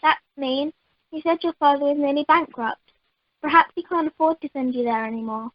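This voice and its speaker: A friendly, direct teenage girl , played by a woman, chatting in a high voice. It is amateur radio comedy, with a dull, dry telephone-conference sound. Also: fairly quick, dry recording, lightly acted. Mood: chatty.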